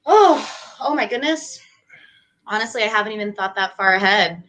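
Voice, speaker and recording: A woman's voice: mid-range voice, expressive, moderate pace, fairly bright tone, slight background noise, poor audio. A young woman from New Hampshire, a doctoral student talking casually as an interview guest, chatty with frequent fillers and laughs. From New Hampshire, USA. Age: young adult.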